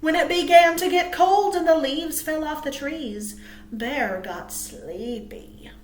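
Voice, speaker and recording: A woman reading aloud to small children as a storytime host, cheerful and expressive. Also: high voice, takes it slowly. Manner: cheerful, expressive, asks listeners questions. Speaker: children's librarian hosting storytime. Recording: roomy, echoey sound.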